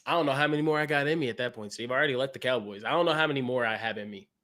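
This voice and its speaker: A man's voice: medium pitch, racing, fairly bright tone. A man from East Texas with an East Texas accent, co-hosting a casual sports talk stream in an earnest, easygoing way.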